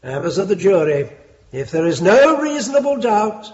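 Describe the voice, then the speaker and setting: An actor playing a stern, lofty Victorian judge with an English accent, formal and measured, in a British radio drama. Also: male voice, sits high, very animated, a little mellow. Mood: grave. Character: stern, lofty Victorian judge presiding over a murder trial. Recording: dull, muffled lo-fi drama recording.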